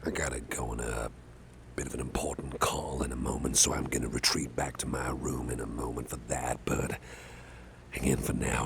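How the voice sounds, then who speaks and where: A man playing a caring, affable boss in an ASMR roleplay, talking softly in a gentle, hesitant, chatty way with a gravelly voice. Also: heavily acted. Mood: reassuring, relaxed. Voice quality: deep, gravelly, breathy at times. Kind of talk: ASMR roleplay, soft close personal talk. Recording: faint background noise.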